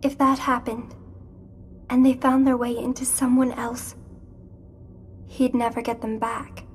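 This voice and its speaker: An actress playing a gentle, troubled young witch girl in a video game drama, speaking in an anxious, hesitant way. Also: a little high, flat delivery, a little slow, slight background noise, lightly acted. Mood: worried.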